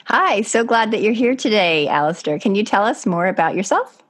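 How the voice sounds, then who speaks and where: A woman's voice: medium pitch, very animated, normal speed. A woman co-hosting a podcast, asking guests friendly questions and laughing along in casual chat. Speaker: podcast co-host.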